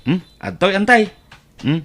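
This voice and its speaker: A woman's voice: medium pitch, expressive, measured pace, creaky, slight background noise. A woman playing a put-upon, resentful young family driver, hesitant and plaintive, in an audio drama. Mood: hesitant, meek. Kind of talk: Ilocano audio drama acting.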